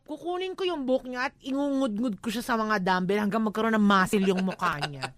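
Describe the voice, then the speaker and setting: A Filipino woman co-hosting a radio show, bantering in an animated, opinionated and slangy way. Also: a little high, a little fast, no room sound, studio quality. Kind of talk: casual banter and commentary on listener letters.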